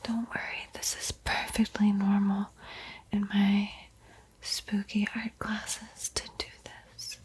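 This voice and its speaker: Woman playing a slow whispering vampire art teacher. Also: medium pitch, little pitch movement. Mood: coaxing, sly. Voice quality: breathy whisper. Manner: slow, hushed, coaxing, hesitant pauses. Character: female vampire art teacher, soothing, secretly hungry. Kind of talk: ASMR roleplay.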